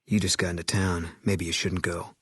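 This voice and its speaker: An actor voicing a grim, bitter former army sniper in a video game, guarded and grieving, with terse, flat, brooding delivery. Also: male voice, sits low, normal speed, breathy edge, no room sound. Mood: guarded, weary.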